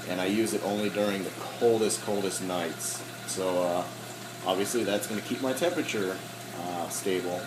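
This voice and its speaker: Hoarse man showing off his reef tank. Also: fairly low pitch, echoey room, noisy background. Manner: halting, many ums, clears throat often. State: slightly hoarse. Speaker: aquarium hobbyist. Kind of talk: casual equipment walkthrough.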